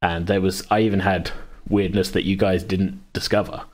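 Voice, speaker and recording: A man's voice: low-pitched, level tone, moderate pace, darkish tone. A man hosting a casual podcast chat, rambling, often correcting himself and chuckling. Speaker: podcast host and game master.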